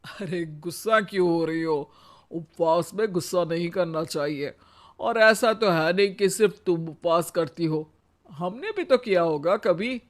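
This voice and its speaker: Comic storybook father-in-law played by a story performer: old, unhurried, wisecracking, dryly mocking. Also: medium pitch, natural voice. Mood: teasing. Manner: dry, mocking, needling. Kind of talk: comic moral story dramatization.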